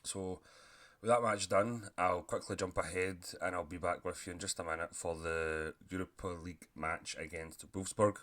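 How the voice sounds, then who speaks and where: Male gaming commentator, deep voice, sore throat, relaxed chatty delivery with frequent throat-clearing. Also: normal speed. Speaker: gaming YouTuber. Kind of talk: casual commentary over a football management game.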